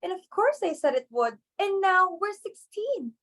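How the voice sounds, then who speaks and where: A woman playing an anxious, bungling young society daughter in a dramatic reading of a stage comedy, with an excitable, pleading delivery. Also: very high-pitched, moderate pace, heavily acted. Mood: defensive, pleading.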